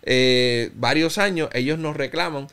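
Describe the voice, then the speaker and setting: A Cuban-born man in his thirties speaks slowly, pausing often and restarting his phrases. His voice is smooth and clear, at a middle pitch, with a light Cuban Spanish accent. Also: a bit bright. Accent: Cuban Spanish, softened by Puerto Rico. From Cuba. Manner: reflective, halting, many restarts and fillers. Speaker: interview guest. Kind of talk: personal testimony in conversation.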